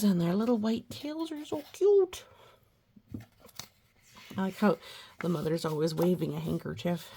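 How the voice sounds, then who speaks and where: A woman's voice: lowish voice, gravelly, dark tone, breathy edge. A woman reading a children's picture book aloud as a storyteller, with chatty asides and childlike enthusiasm.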